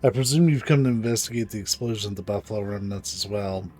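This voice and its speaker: A man voicing an earnest, dutiful guard from a video game, reading the formal dialogue aloud with deliberate urgency. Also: lowish voice, mellow, soft background music, natural voice. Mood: watchful.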